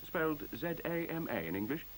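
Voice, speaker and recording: Urbane, mischievous machine intelligence played by a man, radio play, articulate and lively, faint tape hiss. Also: on the high side, a little fast, close to an everyday voice. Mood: composed, assured. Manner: mischievous, teasing, articulate, formal. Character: witty, urbane male talking intelligent computer.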